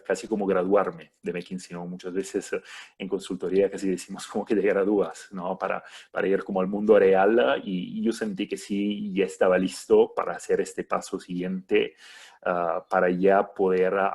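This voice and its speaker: A man in his thirties from Italy with an Italian accent, a guest in a live online interview, speaking in a measured way with many filler sounds. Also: sits low, a little mellow, breathy edge.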